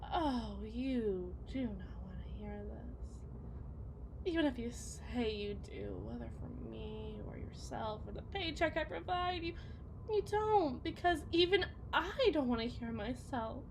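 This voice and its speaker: A woman playing a bitter, self-loathing wife and mother in an ASMR roleplay, speaking in a theatrical, halting, rambling lament. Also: fairly high pitch, lively intonation, gravelly, noisy background. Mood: despairing, self-reproachful.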